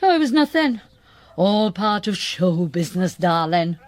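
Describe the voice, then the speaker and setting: An actress playing an ageing Welsh singing diva, boozy, imperious and melodramatic, declaiming grandly in a radio play. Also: female voice, lowish voice, sing-song, unhurried, soft background music. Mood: grand, self-satisfied. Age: older. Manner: grandiose, haughty, dramatic declaiming.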